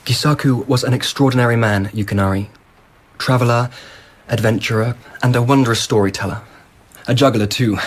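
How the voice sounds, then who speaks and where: Brief: husky, indulgent emperor, played by a man, expansive and eager. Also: medium pitch, brisk, lightly acted. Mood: enthusiastic. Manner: expansive, enthusiastic anecdote-telling. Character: curious, indulgent male emperor of old Japan. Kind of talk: BBC radio drama acting.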